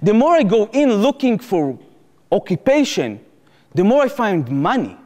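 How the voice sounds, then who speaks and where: A young man with an Israeli accent giving a public lecture as personal storytelling, emphatic, repeating phrases and pausing for drama. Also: high-pitched, sing-song, darkish tone. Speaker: activist lecturer and former soldier. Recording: echoey hall with long reverb.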